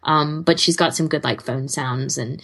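A woman's voice: low voice, normal speed, vocal fry, a bit bright. A woman co-hosting a podcast, chatting casually and giving recommendations in an informal, rambling, giggly way. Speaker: podcast co-host.

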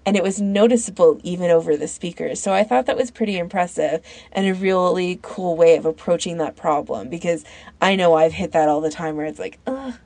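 A woman with a slightly low voice talks informally, as one of a podcast panel. She thinks aloud and halts as she goes. The sound is very dry, with no room around it. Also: fairly quick, darkish tone, dry recording. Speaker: podcast panellist recommending fan audio fiction. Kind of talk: casual chat.

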